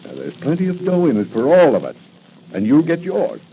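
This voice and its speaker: A man's voice: fairly high pitch, wildly swooping pitch, a little fast. An actor playing a menacing, mocking gangster henchman in 1940s radio style, with a sneering, taunting delivery. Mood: threatening. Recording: muffled 1940s radio broadcast, faint noise.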